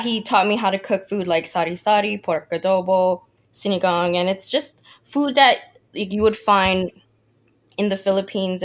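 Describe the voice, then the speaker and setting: A young woman from Hawaii, a cook, chatting as a podcast guest in a friendly, casual way, full of "like" and "uh". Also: medium pitch. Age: young adult. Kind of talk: casual interview chat.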